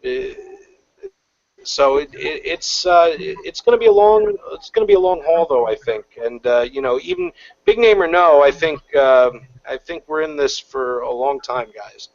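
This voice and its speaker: A man's voice: fairly high pitch, sing-song, normal speed, creaky, dry recording. A man in a casual online panel chat, rambling with lots of fillers and restarts. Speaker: panel guest. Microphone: video call. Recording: lo-fi online call audio.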